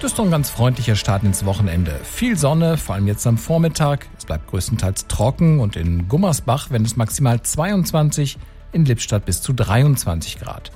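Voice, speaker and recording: A man's voice: medium pitch, sing-song, moderate pace, warm tone, faint music behind. A man presenting the radio weather forecast in a friendly, upbeat way.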